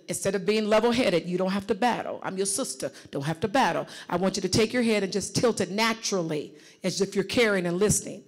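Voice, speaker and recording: A woman's voice: mid-range voice, quick pace. Woman coaching a hall crowd. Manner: lively, direct, coaching the audience. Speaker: workshop facilitator. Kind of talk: live seminar coaching. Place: large conference hall stage. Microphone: handheld mic.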